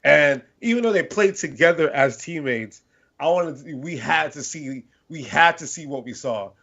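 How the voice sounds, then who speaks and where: A man's voice: fairly high pitch, moderate pace. A man born in London to a Nigerian family, co-hosting a sports podcast and telling a long, rambling story in casual chat. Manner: rambling, animated storytelling with restarts. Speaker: podcast co-host. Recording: slightly dull lo-fi podcast audio.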